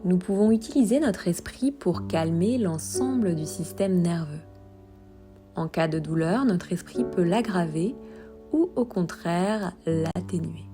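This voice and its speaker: A woman guiding a body scan meditation in a soft, at times breathy voice, with calm, soothing instructions and long pauses. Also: fairly low pitch, music in the background. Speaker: yoga meditation guide.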